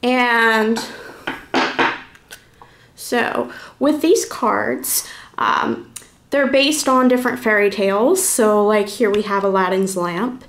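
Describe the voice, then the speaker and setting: Higher-pitched woman, casual reviewer. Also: slow pace, long pauses, a little hiss or hum. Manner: informal, thinking aloud as she goes. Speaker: reviewer presenting a card deck. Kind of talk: casual first-impressions vlog chat.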